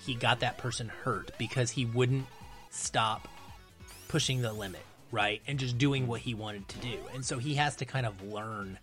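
A man with a medium-pitched voice speaks as a guest on a film podcast. He is casual but earnest, explaining his points as he goes. Faint music sits behind his voice. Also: fairly bright tone.

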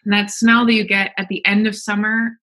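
Bright, husky woman reminiscing casually. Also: medium pitch, little pitch movement, brisk. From Belgium, then United States from high school. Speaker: podcast guest. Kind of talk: casual personal recollection.

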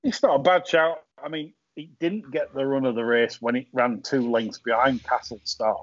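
A man's voice: medium pitch, moderate pace, lo-fi sound. A man hosting a horse racing podcast, chatting casually with lots of fillers and repeated words. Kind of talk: casual tipping chat.

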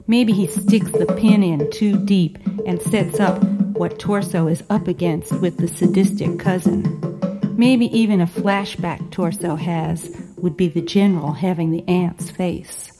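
A woman narrating an audio story, musing on film ideas in measured, short phrases. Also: fairly low pitch, fairly even intonation, loud music behind the voice.

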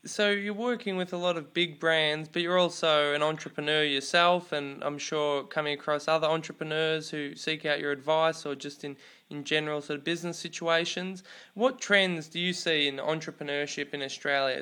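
Smooth, bright male interviewer. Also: a little high. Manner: easygoing, long framed questions with "sort of". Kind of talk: conversational interview questions.

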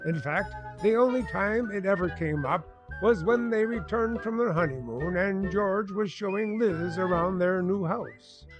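A man's voice: high voice, measured pace, highs cut off, over music. A man narrating a scripted radio sitcom as announcer, in a droll, old-time style.

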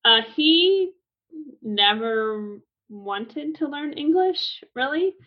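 A woman in her thirties who teaches Spanish, explaining things in a relaxed, conversational way with frequent fillers. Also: high-pitched, drawn-out words. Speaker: Spanish instructor. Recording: slightly muffled lo-fi recording.

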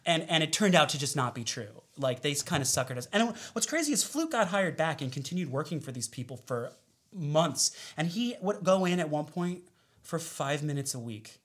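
A man's voice: on the high side, sing-song, moderate pace, a bit bright. A man telling a rambling, laughing story about his first job in casual podcast chat. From United States. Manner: rambling, laughing self-deprecation. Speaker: storyteller guest.

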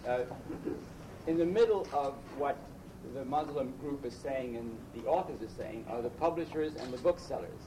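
A man's voice: mid-range voice, moderate pace. Formal man speaking through background noise. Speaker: publishers' representative asking a question. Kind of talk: question from the floor. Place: press conference room. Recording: distant mic, clear background noise.

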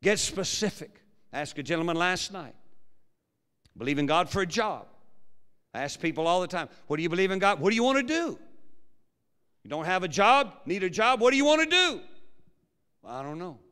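A pastor preaching a sermon with a big, projecting voice that swings from quiet low musing to loud fervent preaching. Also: male voice, big pitch swings, brisk, long pauses, smooth. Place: large church hall. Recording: long hall reverb.

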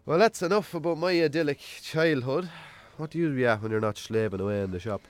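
An actor playing a wry, self-deprecating rural Irish farmer in a radio drama, telling stories colloquially. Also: male voice, mid-range voice, fairly quick, natural voice. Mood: amused, wry. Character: Jamesy, rural bachelor farmer, self-deprecating storyteller on a date. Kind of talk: naturalistic radio drama acting.

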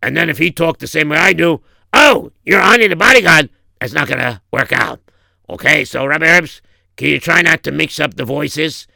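A man voicing a muscular, earnest bodyguard who speaks bluntly and haltingly in a comic story. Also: a little high, expressive, creaky, pushed and bright, dry recording, voice pushed up, full character voice. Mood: annoyed, complaining. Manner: blunt, hesitant, plain-spoken.

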